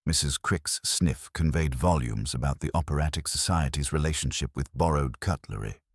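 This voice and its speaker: A man reading scripted mystery audiobook narration in a measured, dry, formal storytelling manner. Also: rumbling low, no room sound.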